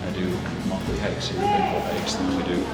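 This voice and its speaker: A man working as a park naturalist, chatting casually and explaining things in halting, thoughtful phrases. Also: low-pitched, huge pitch swings, recorded from a distance. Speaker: park naturalist being interviewed. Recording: echoey room with loud music behind.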